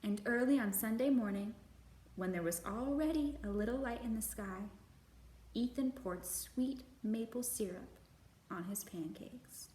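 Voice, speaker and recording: A woman hosting a children's storytime, reading and speaking to young listeners with slow, deliberate, expressive phrasing. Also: fairly high pitch. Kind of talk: picture book read-aloud for young children. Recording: roomy echo, faint background noise.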